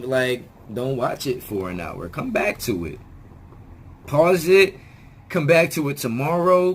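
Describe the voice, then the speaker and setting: A man talking straight to his viewers in a rambling self-help vlog, often restarting words and swearing casually, his voice still hoarse as it comes back. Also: a little high. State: hoarse, regaining lost voice. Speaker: video channel host.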